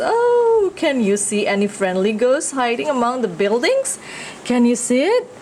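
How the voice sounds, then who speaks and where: A woman's voice: a little high, a little hiss or hum, poor audio. A woman with an Indonesian accent reads a children's picture book aloud expressively, engaging young listeners with questions and exclamations. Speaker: children's storyteller.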